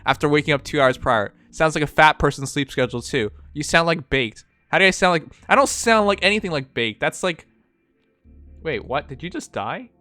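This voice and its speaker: A man's voice: a little high, lively intonation, brisk, bright, forceful tone, no echo, faint music behind. A man from Canada with a slight Chinese accent, who speaks English as a second language, hosting a livestream and reading chat messages aloud in a rambling, blunt, profane way. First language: not English. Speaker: livestream host.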